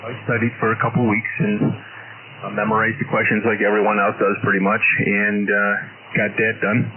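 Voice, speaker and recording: A man's voice: lowish voice, monotone, moderate pace, crowd noise. A man who operates amateur and CB radio, chatting casually and at rambling length over a radio transmission. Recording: narrow radio audio, heavy babble behind.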